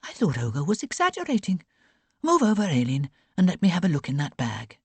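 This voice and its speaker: A woman voicing a stern, no-nonsense aunt in an audiobook, crisp and commanding in manner. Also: on the low side, huge pitch swings, a little fast, a little mellow, no room sound, pitched above the performer's own voice, full character voice. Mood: brisk. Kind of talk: audiobook character voice. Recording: dry close recording.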